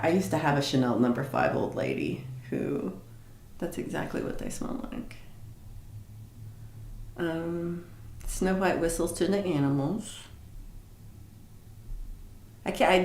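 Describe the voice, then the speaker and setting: A woman with a notably low, deep voice chats loosely on her livestream, wandering through her week with lots of fillers and restarts. She sounds like she is in an echoey room at home. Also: a little mellow. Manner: chatty, rambling, many fillers and restarts. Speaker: livestream host. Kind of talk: casual live chat reading comments.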